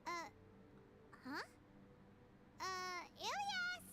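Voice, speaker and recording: A woman voicing a tiny, curious, chatty childlike fairy companion in an anime game, with a squeaky voice and loud music behind. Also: huge pitch swings, very slow, heavy background noise, exaggerated voice. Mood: puzzled, uneasy.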